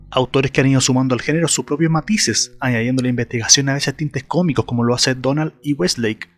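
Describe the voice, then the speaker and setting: A man with a Chilean accent, hosting his channel with scripted video essay narration addressed to viewers. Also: on the high side. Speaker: YouTube channel host.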